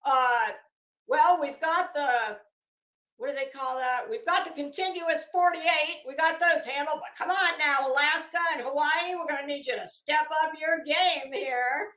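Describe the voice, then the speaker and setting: Sarcastic, slow-paced woman in an echoey room. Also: high voice, pushed and bright. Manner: sarcastic, mocking, exasperated. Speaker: livestream host. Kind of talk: casual commentary on a legal case, chatting with viewers.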